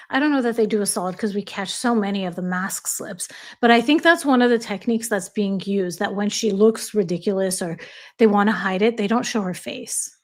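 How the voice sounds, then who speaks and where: An American woman hosting a casual chat, telling stories quickly and laughing often. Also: medium pitch. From United States. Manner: chatty, quick storytelling with frequent laughs. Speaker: host.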